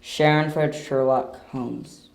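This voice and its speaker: A man's voice: mid-range voice, fairly even intonation, slow, vocal fry. A man acting an earnest, conflicted young detective in an amateur audio drama, recorded distant from the mic in a very echoey room. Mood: formal.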